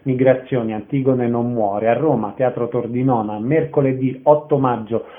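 A thin, phone-like sound carries a man with a medium-pitched voice. He acts as interviewer, speaking in a concise, matter-of-fact way. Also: fairly even intonation, normal speed. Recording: narrow telephone-like radio sound.

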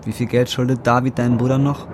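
A man's voice: mid-range voice, flat delivery, fast talker, lightly acted. An actor as a desperate young man, noisy film sound. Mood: flat, annoyed. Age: 20s. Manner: coarse slang, swearing. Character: desperate, broke young man, brooding and guilt-ridden. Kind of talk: naturalistic film drama acting.

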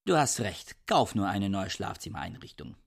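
An actor in a radio play voicing an exasperated, scornful husband, a scholar with a curt, pedantic manner. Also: male voice, on the low side, very animated, moderate pace, vocal fry, no echo, studio quality, full character voice. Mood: resigned. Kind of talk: German radio play acting.

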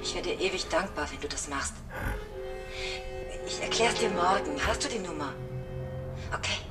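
A woman's voice: on the low side, animated, moderate pace. Distant woman pleading on the phone. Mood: pleading, secretive. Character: woman secretly begging a friend's help by night. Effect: overheard through a listening receiver. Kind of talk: radio drama acting. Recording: distant overheard voice under loud music.

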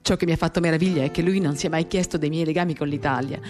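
A woman giving an intimate, measured dramatic reading of a literary text, pausing between short phrases. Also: deep voice, steady tone, darkish tone. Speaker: reader of a novel. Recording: guitar music under the voice. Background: acoustic guitar.

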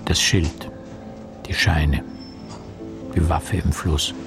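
A brooding male narrator in a noir radio play. His voice is low and he takes his time, setting down terse, measured poetic fragments. Prominent music plays behind him. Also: fairly even intonation, gravelly, full character voice. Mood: terse, suspenseful.

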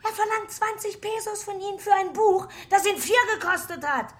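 An actress playing a bitter, furious shopkeeper's wife, scolding and insulting in a theatrical radio drama manner. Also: female voice, very high voice, normal speed. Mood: furious, anguished. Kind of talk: German radio drama acting.

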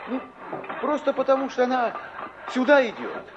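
An actor playing a cheeky, leering construction worker who heckles and calls out in a 1960s radio play. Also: male voice, extremely high pitch, steady tone, normal speed. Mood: teasing. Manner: jeering, calling out. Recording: muffled, very noisy vintage radio recording.

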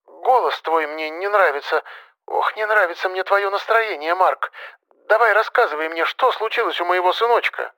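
A man's voice: high-pitched, moderate pace, sharp tone, voice pushed up, rough recording. A man voicing an anxious, nagging elderly mother in an audiobook story, plaintive and lecturing, often sighing. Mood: worried.

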